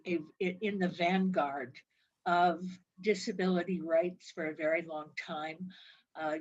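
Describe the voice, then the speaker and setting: A woman with a slightly low voice hosts an interview. She talks conversationally, pausing as she puts her thoughts together. The audio is a little dull, like a video call. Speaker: host. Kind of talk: conversational interview with fillers and pauses.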